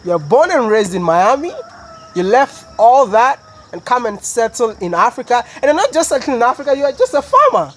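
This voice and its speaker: A man's voice: very high-pitched, sing-song, a little fast, fairly bright tone. A man from Ghana, a YouTube interviewer, talking in a playful, teasing way. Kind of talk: casual interview. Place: raised platform outdoors on a rural farm.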